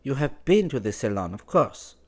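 A man's voice: medium pitch, very animated, normal speed, darkish tone, pitched above the performer's own voice, close to an everyday voice. A man voicing a teasing young Parisian woman, sly and merry. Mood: airy. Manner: lightly mocking, airy.

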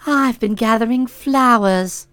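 Brief: woman reader doing a soupy, sentimental young miss, high and lilting, dry booth sound. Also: a little slow, a bit bright, clear, resonant tone, no room sound. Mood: dreamy. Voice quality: soupy, trickly voice.

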